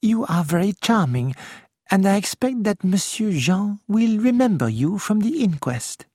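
Read by a narrator, a fussy elderly Belgian detective who chooses his words with formal care. His delivery is slow and lilting. The sound is dry and close. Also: mid-range voice, very animated, mellow, no echo, pitched above the performer's own voice. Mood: courteous. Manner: formal, polite, precise phrasing.

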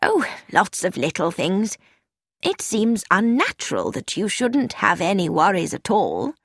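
A woman voicing a shrewd elderly spinster who asks mild, gently probing questions in an audiobook. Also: mid-range voice, huge pitch swings, unhurried, no echo, studio quality, exaggerated voice. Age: older.

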